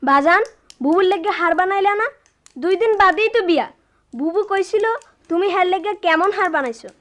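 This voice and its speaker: A woman's voice: very high-pitched, expressive, brisk, fairly bright tone. A woman playing an inquisitive, pestering young village child with a Bangladeshi rural dialect in a radio drama. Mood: curious. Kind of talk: Bengali radio drama acting.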